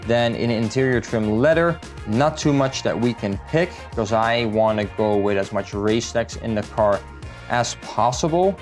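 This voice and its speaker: A man's voice: mid-range voice, measured pace, soft background music. A man from the Netherlands, talking through a car build in a casual, matter-of-fact vlog style as a salesman would.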